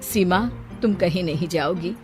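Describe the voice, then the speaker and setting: A woman's voice: lowish voice, unhurried, faint music behind, lightly acted. A woman voicing a kind, self-sacrificing elder sister in a moral story, caring and obliging. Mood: forgiving.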